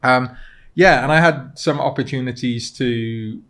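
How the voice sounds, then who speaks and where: A British man in his thirties telling his personal story conversationally as a podcast guest, reflective and a little halting. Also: medium pitch, a crowd in the background. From Cardiff, Wales. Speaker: podcast guest, content creator and model. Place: podcast studio. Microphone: close studio mic on desk stand.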